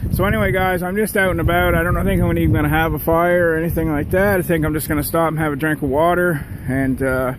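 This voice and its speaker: Maritime canadian guy doing an outdoor vlog, kinda higher voice, casual rambly chat, wind blasting real loud. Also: fairly even intonation, quick pace, few pauses. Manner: folksy, repetitive, often addressing viewers directly. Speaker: outdoor vlogger. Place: outdoors among trees in strong wind. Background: strong wind noise.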